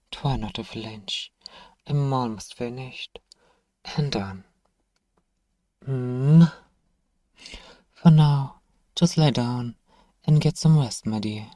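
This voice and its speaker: A man playing a tender, centuries-old vampire lover, speaking gently in formal words as an intimate ASMR roleplay. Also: mid-range voice, dry recording, lightly acted. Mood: tender concern, then affectionate, coaxing. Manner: gentle, hushed, slow, formal wording. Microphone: close microphone, dry.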